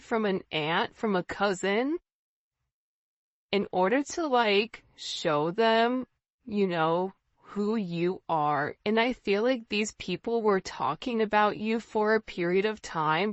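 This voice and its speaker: A woman's voice: mid-range voice, dry recording. Woman tarot reader, dull dry recording. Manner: emphatic, conversational, direct address with rhetorical questions. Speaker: tarot reader, channel host. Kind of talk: informal intuitive tarot reading addressed to viewers.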